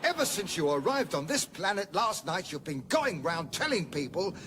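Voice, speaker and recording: An actor playing a knowing, exasperated rescuer in a radio sci-fi comedy, urgent and dryly clipped. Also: male voice, high-pitched, sing-song, normal speed, sharp tone, a little hiss or hum, heavily acted. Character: urgent, dry, knowing male rescuer in battle.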